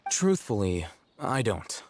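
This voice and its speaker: A man voicing a cool, aloof, rational young scholar in a video game, speaking in a measured, formal, articulate way. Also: fairly low pitch, sing-song, heavily acted. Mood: calm, detached. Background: background music.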